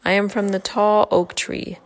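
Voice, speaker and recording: A woman reading a personal poem aloud in a steady, rhythmic recitation. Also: mid-range voice, measured pace.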